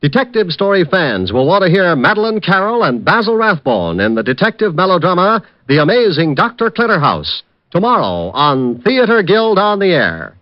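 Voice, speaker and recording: A man's voice: high-pitched, big pitch swings, normal speed, hardly any pauses, dry recording. Formal old-time radio announcer, man. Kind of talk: scripted show announcement. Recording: dull lo-fi 1950s radio broadcast.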